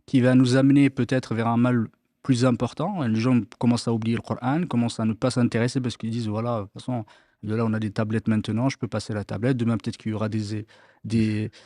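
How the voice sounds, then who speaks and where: A man hosting a religious discussion show. He speaks with a mellow, dark tone and frequent restarts. His voice is close and dry, with no room sound. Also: mid-range voice, dry recording. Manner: hesitant, many restarts, peppered with Arabic blessings. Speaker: host of an Islamic radio show. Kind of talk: religious discussion, asking questions.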